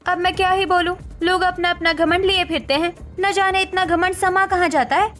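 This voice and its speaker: A young daughter-in-law in a cartoon family drama played by a woman. Her voice is extremely high, earnest and plaintive, with faint music behind. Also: steady tone, moderate pace, a bit bright. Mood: indignant.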